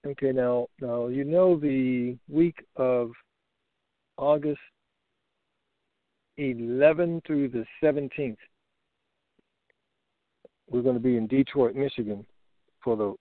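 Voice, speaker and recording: A man's voice: mid-range voice, slow, long pauses, no echo. Man on a dry, dull conference line. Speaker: co-host reading out listener questions. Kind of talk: casual conference call moderation. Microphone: phone conference line.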